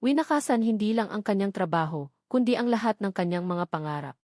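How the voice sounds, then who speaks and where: A woman's voice: medium pitch, expressive, fairly quick, darkish tone, dry recording. Close, dry Filipino woman storyteller. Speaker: narrator. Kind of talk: dramatic scripted audio story narration.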